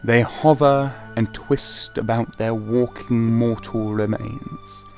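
Man as a slow, portentous gothic narrator. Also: medium pitch, steady tone, creaky, darkish tone, faint music behind. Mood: ominous, portentous. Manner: portentous, theatrical. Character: ominous, florid male narrator of a gothic horror tale. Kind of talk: gothic radio play narration.

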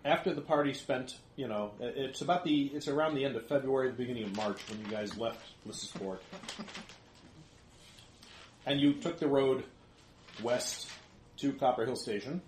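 A man's voice: medium pitch, moderate pace, pauses often. Easygoing man running a tabletop game. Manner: easygoing, laughs often. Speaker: game master. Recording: echoey room with faint noise.

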